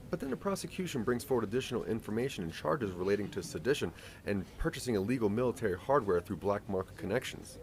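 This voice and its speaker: Low-voiced man narrating, very varied pitch. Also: darkish tone, a little hiss or hum. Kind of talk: dramatic second-person scripted narration.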